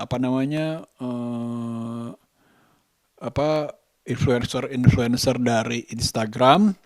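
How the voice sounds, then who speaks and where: A man speaks in a medium-pitched voice at a slow pace. He talks off the cuff as in a personal vlog, halting and feeling for the next word. The sound is close and dry, with little room echo. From Indonesia. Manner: hesitant, halting, often searching for words. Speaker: vlogger and musician. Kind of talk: casual unscripted daily vlog monologue.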